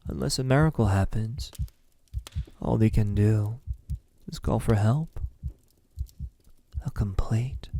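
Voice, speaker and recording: A man acts out a brooding male vampire in an intimate ASMR roleplay. The voice is dark and husky, with soft breath around the words. He speaks very slowly and haltingly, as if confiding in one listener. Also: fairly low pitch. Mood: menacing, amused. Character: lonely, lovesick male vampire, menacing toward humans.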